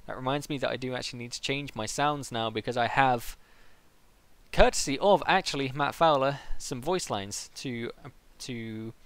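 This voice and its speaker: Male stream host, casual interview chat, noticeably creaky voice at a middling pitch. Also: moderate pace, a bit bright, no room sound.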